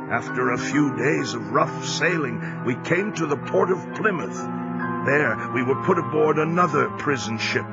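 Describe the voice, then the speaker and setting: An actor narrating an audio drama as a former American sailor recounting his captivity, solemn, measured and dramatic. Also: on the high side, vocal fry. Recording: dull recording under loud music.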